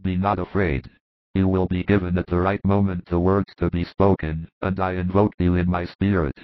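A man voicing a humble male disciple who recounts in an earnest, reverent way, lower than the narration. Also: level tone, moderate pace, no echo, natural voice. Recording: muffled dry recording.

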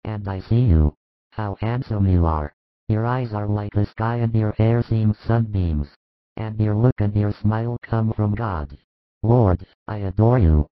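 A man's voice: on the low side, measured pace, dry recording, close to an everyday voice. A man voicing a humble, awestruck blind man who is newly healed, in a dramatized scripture story. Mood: awed adoration. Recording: muffled, phone-like audio.

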